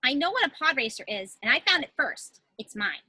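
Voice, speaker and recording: A headstrong young female miner, read by a woman at a table read. Her voice is high and swings widely, with a slightly husky edge. She sounds determined and is heard over a home video call. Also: very animated, fairly quick, pushed and bright, heavily acted. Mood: defiant. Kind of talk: table read of fan fiction.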